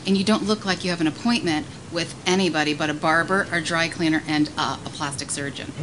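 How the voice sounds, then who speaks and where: A woman's voice: low voice, steady tone, a little fast, natural voice. A woman playing a dry, sarcastic, unimpressed secretary in a radio play, in a noisy live recording. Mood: snippy. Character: snippy, unimpressed blonde female secretary. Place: live performance on a cruise ship.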